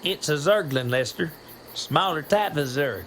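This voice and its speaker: Slow-talking bossy sergeant. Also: male voice, on the high side, expressive, a bit bright, a little hiss or hum. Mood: grave. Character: bossy, gruff Southern military sergeant. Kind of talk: cinematic game cutscene acting.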